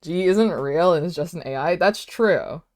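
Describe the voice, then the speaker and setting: A woman, Vietnamese, talks loosely to her stream chat. Her delivery is animated and chatty, peppered with "like" and quick laughs. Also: lowish voice. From United States. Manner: animated, chatty, laughs often, many "like" fillers. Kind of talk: casual livestream chat, reading chat aloud.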